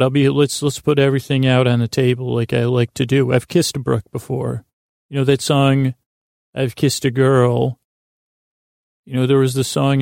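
A man with a gravelly, creaky voice hosts a podcast, recorded close and dry. He wanders through a whimsical monologue, stopping often, doubling back on words and drifting off on silly tangents. His pitch sits in an ordinary male middle range. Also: darkish tone, no room sound. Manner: halting, digressive, with long pauses and repeated words; silly, absent-minded. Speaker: podcast host. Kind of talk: rambling whimsical monologue meant to distract listeners.

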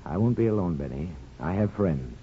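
An actor playing an older, principled small-town barber and father, plain-spoken and measured, in a 1950s radio drama. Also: low-pitched, lively intonation, a little hiss or hum. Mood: grave, troubled. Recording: muffled 1950s radio broadcast.

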